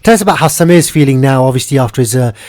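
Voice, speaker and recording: A man hosting a live community radio show in a studio, talking in a lively, hurried, upbeat way. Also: mid-range voice. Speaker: radio host.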